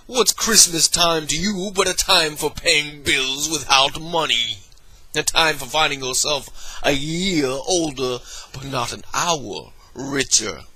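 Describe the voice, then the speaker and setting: A man's voice: medium pitch, pushed and bright, husky, heavily acted, rough recording. A man voicing a grumpy, miserly old man who snaps out short, scornful outbursts.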